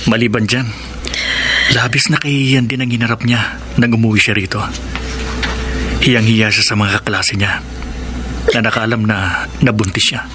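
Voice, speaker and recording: A man's voice: lowish voice, steady tone, normal speed, long pauses, over music, lightly acted. A man playing a patient, conciliatory older brother in a radio drama, counseling earnestly. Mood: earnest, consoling. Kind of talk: Tagalog radio drama acting.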